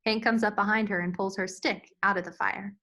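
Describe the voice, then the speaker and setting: Bright-voiced woman narrating a script. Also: mid-range voice, steady tone, a little fast. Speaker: narrator reading stage directions. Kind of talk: scripted narration at a table read.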